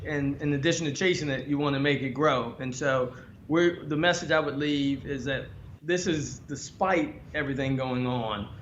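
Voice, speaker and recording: A man, a bank executive, giving a polished, measured answer on a panel over a video call. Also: mid-range voice, a bit bright. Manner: polished, measured corporate speaker. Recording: roomy, dull lo-fi call with faint noise.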